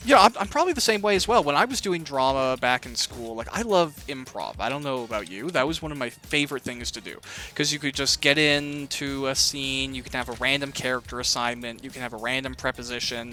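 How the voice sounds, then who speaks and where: Bright-voiced, chatty man over music. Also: mid-range voice. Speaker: interviewer hosting a cast interview. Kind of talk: casual chat while playing a video game.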